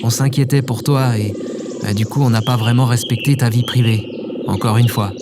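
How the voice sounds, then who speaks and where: A man's voice: mid-range voice, fairly even intonation, normal speed, very noisy, lightly acted. A man playing an anxious, well-meaning cat owner in an audio drama, informal and sheepish. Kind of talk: casual audio drama dialogue.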